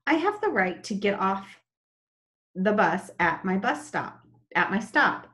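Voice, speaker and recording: A young woman teaching children in an online lesson, talking slowly and in a sing-song way over a laptop webcam. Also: medium pitch, big pitch swings, a bit bright. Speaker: special education teacher. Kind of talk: online lesson with screen share. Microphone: laptop webcam, video call.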